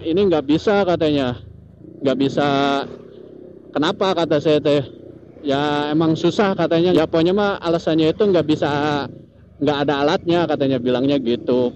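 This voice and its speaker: Muffled audio with clear vehicle noise carries a man's fairly high voice. He speaks with a lilt, chatting casually like a motovlogger telling viewers a story on the ride. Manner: chatty, repetitive tag phrases, chuckles often. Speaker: motovlogger host. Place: outdoors, riding a motorcycle in traffic.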